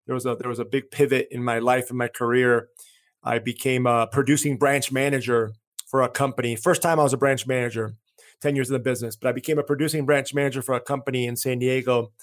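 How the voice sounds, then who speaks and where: A man in his thirties who grew up in Chicago speaking Greek first, telling his story as an interview guest in an earnest way, often restarting and repeating phrases. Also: mid-range voice. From Chicago, USA. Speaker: marketing agency owner, guest.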